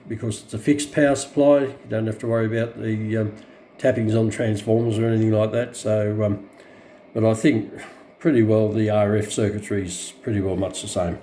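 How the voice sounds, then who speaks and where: An Australian man giving an unscripted hands-on product demonstration, explaining things in a halting, matter-of-fact way into a camera mic. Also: lowish voice, mellow, a little hiss or hum. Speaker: radio collector presenting a review.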